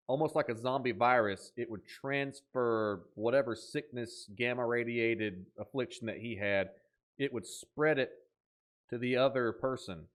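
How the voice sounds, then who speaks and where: A man hosting a horror reaction video, talking casually and enthusiastically, joking around and reading captions aloud. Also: mid-range voice. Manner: animated, jokey, enthusiastic.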